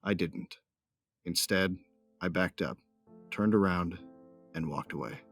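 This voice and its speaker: A man's voice: sits low, takes it slowly, gravelly, a bit bright, soft background music, exaggerated voice. A man playing a brooding, lovelorn hunter in a space-saga audio drama, narrating in a restrained, introspective way. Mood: wistful, resolute. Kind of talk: first-person audio drama narration and dialogue.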